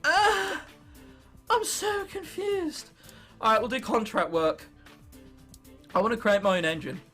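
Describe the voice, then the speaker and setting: Teen english lad thinking out loud over a game, self-mocking n excitable, really animated pitch, music in background. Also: male voice, unhurried. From England. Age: late teens. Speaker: gaming video host. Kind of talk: gameplay commentary, thinking aloud.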